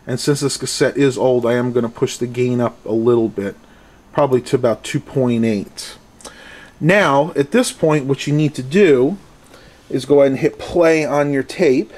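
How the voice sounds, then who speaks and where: A man's voice: medium pitch, normal speed, darkish tone. A man giving a casual hands-on tech demonstration and review, speaking into a handheld camera at home. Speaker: product reviewer. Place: small furnished room at home. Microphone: handheld camera's built-in mic, held by the speaker.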